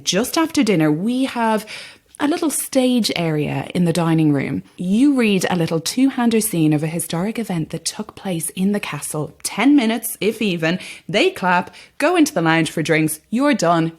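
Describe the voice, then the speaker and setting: A woman playing a brisk, polite castle employee, confiding yet evasive, in a naturalistic audio horror drama. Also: lowish voice, a little mellow. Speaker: actor.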